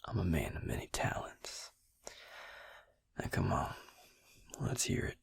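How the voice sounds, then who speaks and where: A man playing a gentle, wry vampire healer in an intimate ASMR roleplay, speaking slowly and soothingly in a breathy, husky near-whisper. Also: natural voice. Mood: wry, coaxing. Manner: slow, soothing, folksy endearments.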